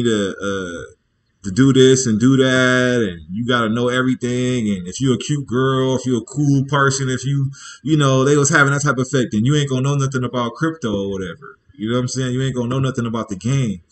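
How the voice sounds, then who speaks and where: A man's voice: medium pitch, a bit bright. Man in laid-back, slangy live chat. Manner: loose and slangy, with frequent "you feel me" check-ins; rambling, thinking aloud with restarts. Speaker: livestream host. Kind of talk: casual livestream chat.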